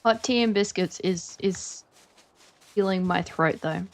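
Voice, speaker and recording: A woman's voice: on the low side, unhurried. Chatty Australian woman streaming a game. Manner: chatty, rambling, lots of fillers. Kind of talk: casual stream chat.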